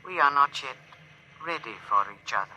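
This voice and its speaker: A man playing a polite, stilted alien ship officer in a 1950s radio drama, speaking in stiff, halting phrases. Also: medium pitch, expressive, sharp tone, slight background noise, low-quality recording. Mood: somber.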